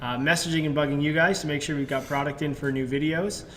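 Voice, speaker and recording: Man, rapid chatty talk, casual co-host, faint rumble. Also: fairly even intonation, a bit bright, poor audio. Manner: quick, chatty, explanatory. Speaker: content strategist and co-host. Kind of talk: casual live Q&A chat.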